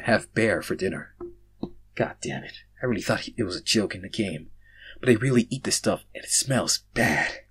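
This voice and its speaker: A man's voice: fairly low pitch, a bit bright, husky. A man reading a fan fiction story aloud in an amateur way, sometimes stumbling over words and correcting himself.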